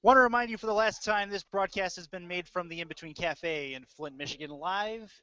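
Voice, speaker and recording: A man's voice: high-pitched, brisk, pushed and bright, smooth, dry recording, soft background music. A man doing live play-by-play commentary of a football game as a sports announcer. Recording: dull lo-fi web audio stream.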